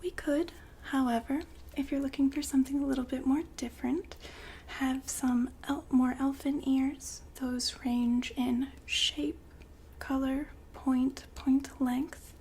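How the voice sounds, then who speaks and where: Whispering woman in slow, even ASMR roleplay. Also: high voice, slight background noise. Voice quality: whispery, breathy soft voice. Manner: gentle, polite sales patter. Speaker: ASMR roleplay performer as saleswoman.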